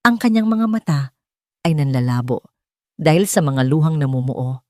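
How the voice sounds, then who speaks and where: A woman's voice: deep voice, a little mellow, no echo, clean studio sound. A woman narrating a scripted dramatic story in a solemn, sentimental manner.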